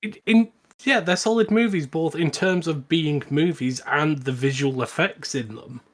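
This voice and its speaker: A man's voice: on the high side, moderate pace. A man co-hosting a podcast, talking casually about films. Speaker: podcast co-host. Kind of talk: casual film discussion.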